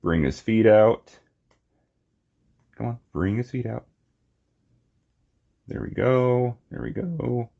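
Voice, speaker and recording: A man giving a casual, unscripted hands-on toy review, talking in short, halting phrases on a slightly muffled recording. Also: mid-range voice, creaky. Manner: halting, short phrases while handling objects.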